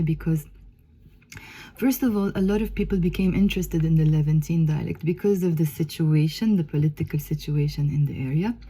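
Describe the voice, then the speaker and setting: A woman's voice: low voice, mellow, slightly rough, poor audio. A Lebanese woman, a native Arabic speaker, explaining things as a teacher in a conversational, emphatic way, often checking in with "okay?".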